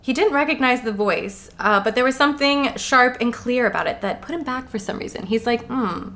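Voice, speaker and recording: Bright-voiced, irreverent woman podcast host. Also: on the high side, brisk. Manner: irreverent, giggly, mimics characters in slangy paraphrase. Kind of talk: casual comedic book recap.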